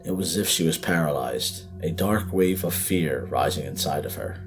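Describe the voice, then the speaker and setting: A man's voice: extremely low pitch, moderate pace, music in the background. A man reading a scripted horror story as narrator, in a dramatic, suspenseful, emphatic way.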